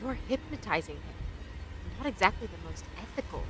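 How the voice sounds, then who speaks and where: A woman playing a principled, sharp-witted companion in an audio drama, dry and reproachful in manner. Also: mid-range voice, lively intonation, fairly quick, natural voice. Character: principled, sassy female companion to a time traveller, scolding and sharp-witted.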